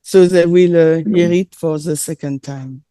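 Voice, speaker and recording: A woman with a French accent, French her first language, delivering a sermon in English with hesitant, filler-laden pauses while an interpreter follows her. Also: sits low, steady tone, mellow. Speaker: presenter.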